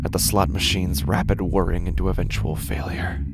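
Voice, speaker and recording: A man playing an uneasy, haunted gambler, narrating a first-person horror audio drama in a halting, deliberate, breathy voice that often nears a whisper. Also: sits low, very noisy. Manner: halting, hesitant, self-repeating, slow and deliberate. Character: uneasy, haunted male gambler telling his nightmare. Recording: continuous music bed under the voice. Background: loud music.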